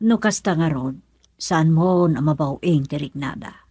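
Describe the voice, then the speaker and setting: Low-pitched, concerned Filipino mother. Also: unhurried, dark tone, slightly rough. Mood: concerned, questioning. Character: concerned, questioning mother of a grown son. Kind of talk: Ilocano radio drama acting.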